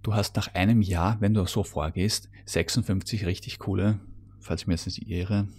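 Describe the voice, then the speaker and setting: Male online-marketing coach, deep voice, slightly raspy, addresses the viewer directly, stumbles and corrects himself. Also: fairly even intonation, moderate pace, darkish tone. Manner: talks straight to the viewer, with frequent fillers and self-corrections; mixes English business terms into German speech. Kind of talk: solo teaching monologue on content marketing.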